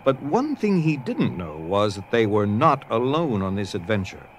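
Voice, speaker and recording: A man's voice: on the low side, very animated, normal speed, slight background noise. A man reading scripted narration for a dramatized story. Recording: music under the voice.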